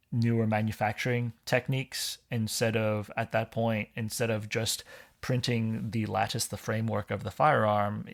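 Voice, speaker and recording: A man from Hong Kong, a podcast guest in casual conversation, explaining things in a measured way. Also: lowish voice, vocal fry.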